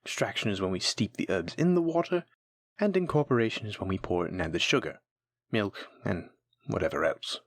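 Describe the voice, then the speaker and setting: A voice actor plays a patient, kindly alchemy teacher from a fantasy audio roleplay. The voice is breathy and moves expressively up and down, recorded close and dry. The teaching is gentle and encouraging, aimed at a nervous beginner. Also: deep voice, expressive, a little fast, no room sound. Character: kind, patient alchemy teacher guiding a nervous new apprentice. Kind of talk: soft-spoken scripted fantasy audio roleplay.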